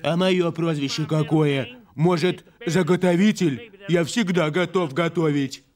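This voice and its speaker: A man's voice: on the high side, measured pace, darkish tone. A man voicing a self-important eagle hero and narrator in a cartoon dub. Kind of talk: cartoon dubbing. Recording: music underneath.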